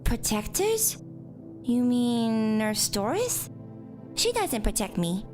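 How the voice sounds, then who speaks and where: A woman's voice: on the high side, big pitch swings, takes it slowly. A woman playing a bold, scheming, childlike young girl with a sassy manner in a horror audio drama. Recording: loud music behind the voice.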